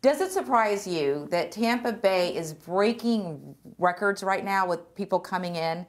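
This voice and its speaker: Woman tv host, chatty, laughs at herself, middle-pitched voice, studio show. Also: expressive, unhurried. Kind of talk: hosting a business interview show.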